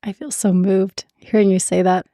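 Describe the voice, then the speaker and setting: A woman works as a podcast host, introducing and interviewing. Her voice sits at a middle pitch for a woman. The sound is dry, with very little room to it. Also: little pitch movement, moderate pace, mellow, no echo.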